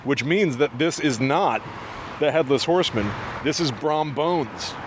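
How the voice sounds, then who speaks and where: A man's voice: fairly high pitch, animated, a little slow. A man co-hosting a podcast on location, chatting casually with jokey, slangy enthusiasm. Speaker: podcast co-host. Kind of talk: casual chat while sightseeing. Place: outdoors on location near traffic. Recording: noisy outdoor recording with traffic.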